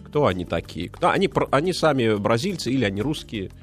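A man's voice: medium pitch, sing-song, fast, soft background music. A man hosting a casual radio interview, chatty and rambling, with many fillers and restarts. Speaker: radio host.

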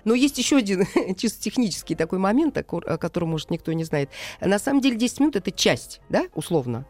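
A woman's voice: medium pitch, sing-song, fast talker, darkish tone, no echo. Woman film director doing an interview, explains stuff w everyday examples, bit of music in there. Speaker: animation film director, studio guest.

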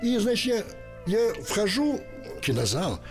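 An older man from Ukraine tells an anecdote as a radio guest, his voice leaping up and down as he acts out each quoted line. His voice sits a little high for a man. Soft music plays faintly behind him. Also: sing-song, unhurried. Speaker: interpreter, interview guest. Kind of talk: storytelling in a radio interview.

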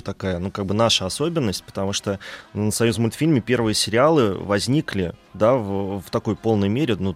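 Lower-voiced man, explanatory studio guest. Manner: explanatory, emphatic, repeats phrases. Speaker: studio guest, animation expert. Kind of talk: conversational expert explanation in an interview.

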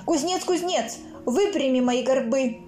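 Lady doing a lovesick boy french horn from a storybook, high and excitable, imploring, music behind. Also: measured pace. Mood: demanding. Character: lovestruck, impulsive young male French horn.

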